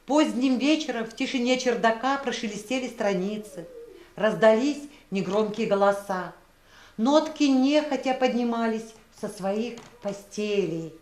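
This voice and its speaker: A woman delivers scripted fairy-tale narration. Her voice sits a little high. The recording has a clearly roomy echo. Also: unhurried, darkish tone.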